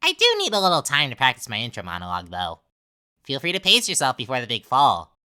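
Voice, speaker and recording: A woman's voice: very deep voice, huge pitch swings, quick pace, bright, forceful tone, clear, resonant tone, heavily acted. A woman voicing a manic, childish talking flower villain from a video game, theatrical and mocking, swinging between cutesy and menacing. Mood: gleeful, taunting. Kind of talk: dramatic game villain monologue voice acting.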